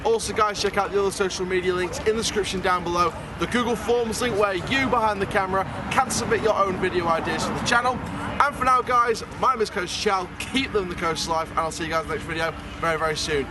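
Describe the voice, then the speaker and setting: A man's voice: high-pitched, fast talker. A man, a theme park vlogger giving an upbeat, chatty review with fillers and restarts at an outdoor night event. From northern England. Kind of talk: enthusiastic vlog review and sign-off. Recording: loud music and crowd noise outdoors. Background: loud music and crowd.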